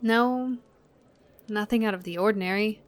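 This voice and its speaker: A woman's voice: mid-range voice, moderate pace, close to an everyday voice. A woman playing a guarded, weary young woman in a naturalistic audio drama.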